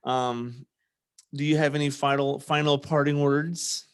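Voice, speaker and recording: A man's voice: on the high side, steady tone, unhurried, smooth. A man hosting a podcast in casual, conversational chat, talking informally as an army sergeant. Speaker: podcast host.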